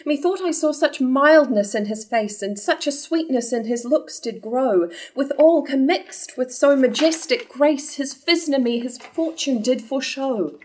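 Woman acting a thoughtful nobleman, fairly high voice. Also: animated, a little slow, few pauses, a little mellow. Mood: measured, admiring. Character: measured, thoughtful young nobleman, cautious and devout. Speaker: actor in a staged reading. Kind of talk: early modern verse drama reading.

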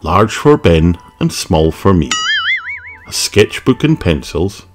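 A man voicing a sweet, excited little storybook girl, eager and earnest. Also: deep voice, slow pace, over loud music, full character voice. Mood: eager, hopeful.

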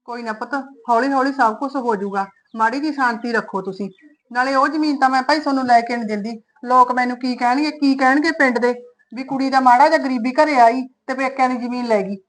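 A woman's voice: high-pitched, fast talker, close to an everyday voice, poor audio. A woman playing a stubborn older village mother who scolds her son and refuses to take land from her parents' home. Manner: scolding, emphatic. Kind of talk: Punjabi family drama acting.

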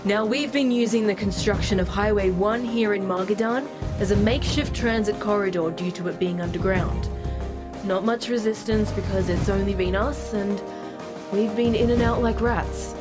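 A woman's voice: mid-range voice, normal speed, music in the background, natural voice. A woman playing a frank, wry special forces liaison giving a plain-spoken mission briefing in a game. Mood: wry, confident.

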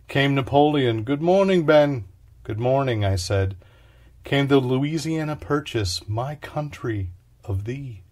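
A man with a mid-pitched voice performs a piece of literary prose. He delivers it as a dramatic reading, in short declamatory phrases with an incantatory rhythm. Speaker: reader of a literary short story.

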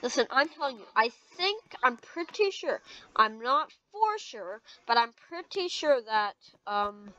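A woman's voice: fairly high pitch, big pitch swings, measured pace, sharp tone, no room sound, dull audio. A woman improvising game roleplay chat, hesitant, often trailing off and repeating phrases. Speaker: player in a Roblox roleplay video.

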